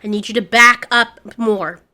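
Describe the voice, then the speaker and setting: A woman's voice: fairly high pitch, fairly even intonation, moderate pace, sharp tone. A woman voicing a fierce, emotional female predator beast in an audio story, commanding and pleading.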